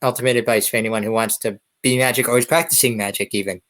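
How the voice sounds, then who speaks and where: Friendly male podcast host, medium pitch. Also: steady tone, fast, a bit bright. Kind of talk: friendly, conversational podcast hosting.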